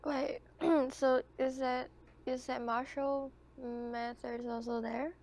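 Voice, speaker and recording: A woman's voice: high voice, level tone, takes it slowly, a little mellow. A woman playing a tabletop game, chatting casually in a tentative, questioning manner.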